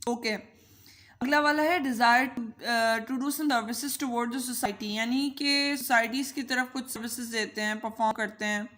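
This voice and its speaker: A woman with a Pakistani accent teaches in an informal, chatty way. Her voice sits on the high side and is altered by a voice changer. She switches between languages as she explains, with a playful, conversational touch. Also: fairly even intonation, unhurried, fairly bright tone. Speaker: teacher and channel host.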